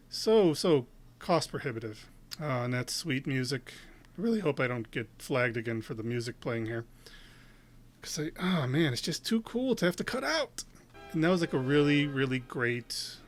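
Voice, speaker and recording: A man hosting a casual reaction video, rambling with lots of fillers and restarts. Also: mid-range voice.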